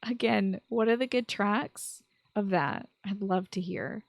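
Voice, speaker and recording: A woman's voice: medium pitch, measured pace, creaky, no echo. A woman with a mid-range voice hosts a show about video games. She is informal and enthusiastic, moving between prepared commentary and chatty asides. The sound is close and very dry, with hardly any room in it. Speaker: podcast host. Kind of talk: chatty video game podcast commentary, partly scripted.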